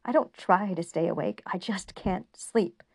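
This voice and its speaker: A woman's voice: mid-range voice, measured pace, creaky, dry recording. A woman voicing an agitated, frightened young male doctor who raises his voice. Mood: weary.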